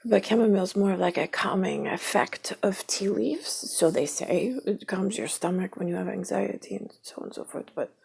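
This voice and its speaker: Woman vlogger, very husky and gravelly, slow, drifting monologue that keeps spelling words out. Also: medium pitch, a little mellow. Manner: spells words out letter by letter, drifts between topics; often drops to a half-whisper. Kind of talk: rambling stream-of-consciousness monologue.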